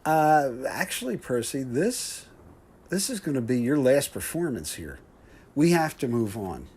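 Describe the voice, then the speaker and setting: Club manager, male actor: man, husky mid-range voice, slow, lilting, sorry but firm, radio drama. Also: medium pitch, sing-song, a little mellow. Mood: apologetic, firm. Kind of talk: radio mystery play acting.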